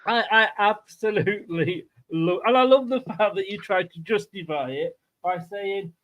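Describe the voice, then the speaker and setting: Male fan-channel host, high voice, informal and jokey, teasing banter for a live sports show. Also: moderate pace, fairly bright tone. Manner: jokey, teasing, laughs easily. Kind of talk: casual livestream chat.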